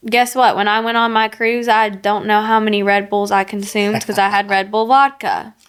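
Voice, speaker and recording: Female podcast host, clear smooth tone, frank and chatty. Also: mid-range voice, level tone, normal speed. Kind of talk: casual podcast chat.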